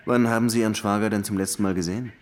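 An actor playing a hard-boiled, short-tempered Cuban police detective who also narrates a German radio crime drama in a dry, sardonic way. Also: male voice, fairly low pitch, steady tone, very fast, natural voice. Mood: pressing, sardonic. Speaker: detective and first-person narrator.

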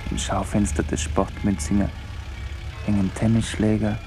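A man's voice: lowish voice, little pitch movement, moderate pace, music in the background. A man reading literary scripted narration in a detached, observational manner for a radio play.

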